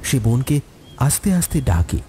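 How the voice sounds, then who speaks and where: Guy narrating a scary story, really lively pitch, medium voice, storm and insect sounds behind. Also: normal speed, background noise. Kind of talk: scripted dramatic horror story narration.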